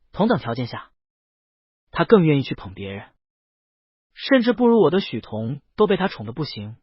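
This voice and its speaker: A man's voice: fairly high pitch, husky, no room sound, lo-fi sound. A man narrating a scripted first-person story as an audiobook.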